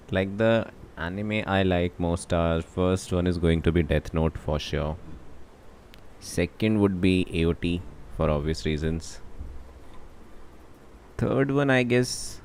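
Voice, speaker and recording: A man's voice: low-pitched, a little hiss or hum. A young man with an Indian accent chatting casually and commenting as he livestreams a game. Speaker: game streamer. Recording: voice over game music.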